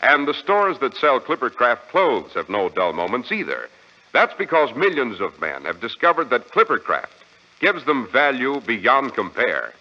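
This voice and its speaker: A dull, lo-fi vintage radio recording of an American male announcer. He speaks in a polished 1940s style, with lively swings of pitch and emphatic salesmanship. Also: measured pace.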